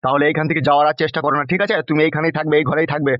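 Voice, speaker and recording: A man's voice: a little high, flat delivery, racing, dry recording, highs cut off, close to an everyday voice. A man voicing a bullying, lecherous local politician in a comic cartoon, crude and blustering. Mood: possessive demanding. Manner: blustering, crude, exclaiming in repeats.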